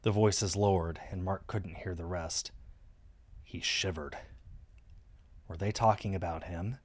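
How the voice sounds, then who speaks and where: A man's voice: sits low, fairly even intonation, measured pace. A man reading a visual novel aloud on a stream as scripted story narration.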